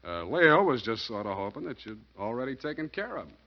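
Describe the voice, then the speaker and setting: An actor playing a wry, street-wise private detective, deadpan and wisecracking, in 1940s noir radio style. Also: male voice, mid-range voice, a little fast. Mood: cagey, casual. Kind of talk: 1940s radio acting, first-person noir narration. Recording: muffled old radio broadcast with music.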